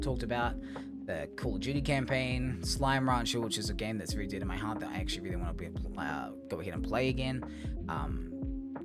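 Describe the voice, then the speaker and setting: Hoarse man giving a channel update. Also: on the low side. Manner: rambling, with many ums and restarts. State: hoarse, has lost his voice from a cough. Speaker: gaming streamer and YouTuber. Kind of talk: casual channel update to viewers. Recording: guitar music playing under the voice.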